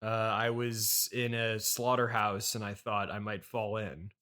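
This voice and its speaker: A man's voice: lowish voice, level tone, unhurried, pushed and bright. A man in his thirties hosting a casual improvised comedy interview, hesitant and questioning, with a dryly pedantic streak. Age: 30s. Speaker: podcast host and interviewer.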